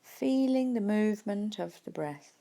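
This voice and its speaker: A woman guides a mindfulness meditation as a teacher. Her mid-range voice has a noticeable creak to it. She speaks gently and without hurry, leaving soft pauses between phrases. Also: mid-range voice, lively intonation.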